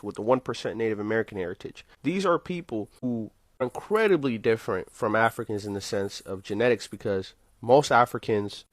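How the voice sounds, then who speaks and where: A man with a middle-pitched voice explains his point confidently in casual speech. The recording is dry and close, with no room sound. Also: no echo.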